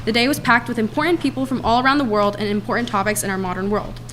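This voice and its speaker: Young woman, student speaker, reads quickly from notes, bit high-pitched. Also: fairly even intonation, fairly bright tone, slight background noise. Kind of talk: reading a prepared report. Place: town council chamber.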